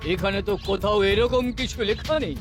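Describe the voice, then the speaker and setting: A man's voice: high voice, moderate pace, close to an everyday voice. An actor playing a boastful, indignant rival king in a cartoon. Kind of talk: cartoon voice acting. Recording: music behind the voice.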